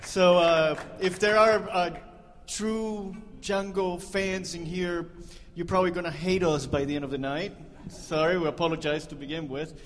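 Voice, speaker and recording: Joking bandleader in a reverberant hall. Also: male voice, high voice, steady tone, normal speed, hardly any pauses. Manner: joking, chatty, laughs at own jokes. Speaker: band leader. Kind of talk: onstage banter between songs. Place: concert venue, on stage. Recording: live concert hall reverb.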